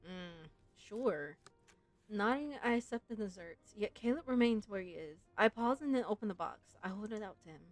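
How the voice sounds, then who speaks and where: A woman's voice: medium pitch, animated, normal speed, no room sound. A woman streamer giving casual, musing gameplay commentary and reading story text aloud. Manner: informal, musing, frequent "like". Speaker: game streamer. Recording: game music behind the voice.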